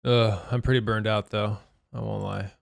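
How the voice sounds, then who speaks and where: Hoarse, gravelly young man streaming. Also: lowish voice, flat delivery, normal speed, no room sound, clean studio sound. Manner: laid-back, swears casually, reads chat aloud. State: hoarse, losing his voice. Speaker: streamer and game caster. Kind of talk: casual live-stream chat. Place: home room at night.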